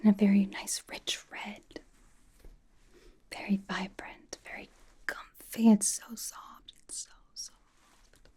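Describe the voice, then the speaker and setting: Casting: woman to play young female pop idol for ASMR roleplay; very breathy whisper, soft dark tone, dryly doting, casual, fussily over-explains comfort. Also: medium pitch, level tone, takes it slowly, pauses often. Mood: cozy, doting. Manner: hushed, casual, playfully over-explaining, dry emphasis.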